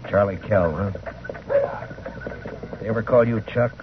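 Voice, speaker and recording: An actor playing a stern, steady frontier marshal who also narrates, in old-time western radio drama style. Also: male voice, fairly low pitch, measured pace, background noise, close to an everyday voice. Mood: firm warning. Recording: muffled old radio broadcast, music behind.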